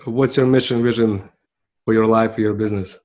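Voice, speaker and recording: A man's voice: on the low side, fairly even intonation, brisk, darkish tone. A man hosting a podcast, asking short, upbeat questions. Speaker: podcast host. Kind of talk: interview hosting.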